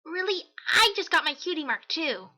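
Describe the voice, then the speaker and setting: A woman voicing a brash, confident young female pegasus pony in a fan audio drama, cocky, casual and energetic, on a slightly dull microphone. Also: extremely high pitch, moderate pace, full character voice. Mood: excited, proud.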